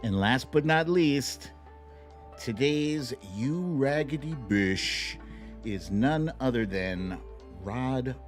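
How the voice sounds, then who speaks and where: Male livestream host, playful and sing-song, highly varied pitch, unhurried pace, informal chat. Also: expressive, faint music behind. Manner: playful, exclamatory, sing-song with silly catchphrases. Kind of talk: casual livestream chat, greeting viewers.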